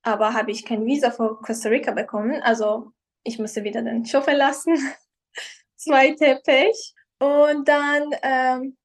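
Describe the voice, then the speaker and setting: A young woman from Iran, speaking German she learned later, telling her story casually as an interview guest and often pausing to search for words. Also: high-pitched, steady tone. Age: young adult. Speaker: guest, professional model.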